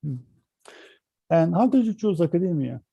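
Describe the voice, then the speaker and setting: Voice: medium pitch, lively intonation, normal speed, creaky, dark tone, breathy edge, no room sound, dull audio. An interviewer asking brief, encouraging questions.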